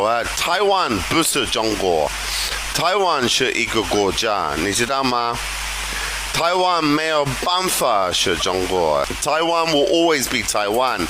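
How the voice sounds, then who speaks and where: A man's voice: mid-range voice, noisy background. A drunk man from England ranting on a video call, repeating himself in a slurred, belligerent way. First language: English. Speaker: online English teacher.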